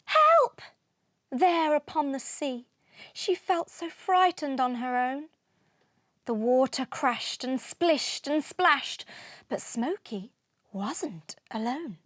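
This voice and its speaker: High-pitched, unhurried woman reading a storybook. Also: animated, no room sound, studio quality. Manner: deliberate, rhythmic verse delivery. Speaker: storybook narrator. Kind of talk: expressive children's read-aloud.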